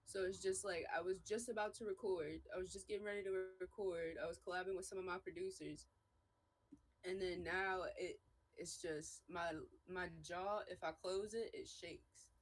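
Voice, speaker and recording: A woman's voice: lowish voice, fairly even intonation, moderate pace. A woman hosting a casual live stream, congested and nasal, talking in loose slangy bursts. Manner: loose, slangy, hyped talk. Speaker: live stream host reviewing music. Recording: loud music playing behind.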